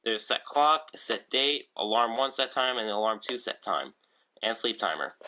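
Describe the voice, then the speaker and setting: Bright-voiced young guy touring his radios. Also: mid-range voice, animated, normal speed. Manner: rambling, lots of ums and restarts. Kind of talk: casual video tour. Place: room at home with shelves of radios.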